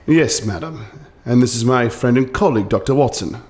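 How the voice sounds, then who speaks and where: An actor playing a calm, clever detective with a British accent, brisk, dry and assured. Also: male voice, mid-range voice, close to an everyday voice.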